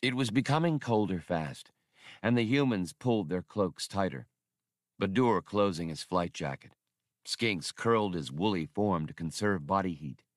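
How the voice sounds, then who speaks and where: Low-pitched man narrating an adventure novel. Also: animated, normal speed, dry recording, studio quality. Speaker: audiobook narrator. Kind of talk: scripted fiction narration.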